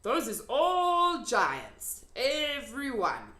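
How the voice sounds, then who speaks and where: A woman's voice: high voice, wildly swooping pitch, drawn-out words, pushed and bright, pitched above the performer's own voice, full character voice. A woman voicing a big, friendly, earnest male giant from a children's storybook, emphatic and drawn out, with muddled grammar. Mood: showing, earnest. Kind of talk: children's storybook character reading. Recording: echoey room.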